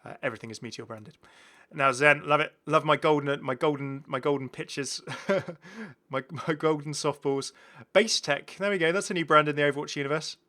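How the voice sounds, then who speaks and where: A man's voice: on the high side, normal speed, pushed and bright. A man hosting a gaming video in a casual, chatty commentary, rambling and repeating his phrases. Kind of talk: casual commentary on game cosmetics.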